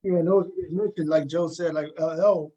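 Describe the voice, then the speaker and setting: A man's voice: fairly high pitch, steady tone, a little fast, dark tone, dull audio. A man hosting a talk show in a casual, conversational way, often repeating words and phrases as he goes. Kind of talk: casual interviewing.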